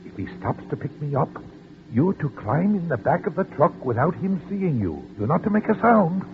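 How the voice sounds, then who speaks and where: An actor playing a frightened, loving elderly father, halting and repeating himself, in 1940s radio drama style. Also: a little high, very animated, background noise. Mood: urgent, hushed. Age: older. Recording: muffled old radio recording with music.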